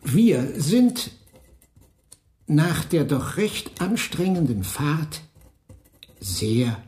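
A domineering forger who rules over fellow prisoners in a radio drama. He lectures at a crawl, stretching and savouring his words. His pitch rises and falls widely as he instructs. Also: very animated, mellow, full character voice. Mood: slow dictation. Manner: drawn-out, lecturing, savouring each word. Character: domineering male prisoner boss, master forger coaching handwriting. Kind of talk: radio play acting.

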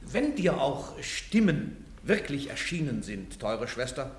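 An actor playing a stern, fanatical church inquisitor in a radio drama, preaching and declaiming. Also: male voice, mid-range voice, sing-song, slow. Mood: soft, urging. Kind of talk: classic German radio drama acting. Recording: echoey, roomy radio drama recording.

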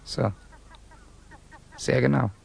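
An actor playing a guarded, proud young Russian student in a radio drama, curt and mistrustful, with a creaky voice. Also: male voice, mid-range voice, very slow, full character voice. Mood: guarded, bitter. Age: young adult.

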